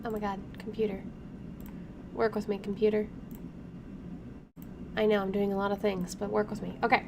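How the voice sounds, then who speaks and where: A woman's voice: mid-range voice, level tone, moderate pace, vocal fry, noisy background. A woman doing a let's play, reading game text aloud with wry, informal asides and interrupting herself as she goes. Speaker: gaming streamer. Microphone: microphone placed to one side. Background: game music.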